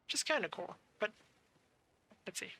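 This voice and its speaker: A woman's voice: medium pitch, expressive, normal speed, vocal fry, sharp tone, slightly rough. A young woman giving casual game commentary as a streamer, musing aloud and searching for words as she goes. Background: game music.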